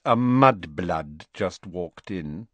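A man's voice: low voice, expressive, extremely slow, no echo, exaggerated voice. A man voicing an arrogant, spoiled teenage boy with a drawling sneer.

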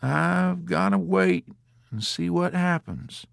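A man's voice: on the high side, very animated, normal speed, creaky, no echo, clean studio sound, voice pushed up, full character voice. A man voicing a self-important, stubborn airman in an audiobook, smug yet easily alarmed.

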